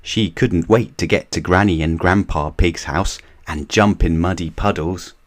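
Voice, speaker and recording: A man reading a children's picture book aloud in a gentle, measured bedtime manner. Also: sits low. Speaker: reader of children's books.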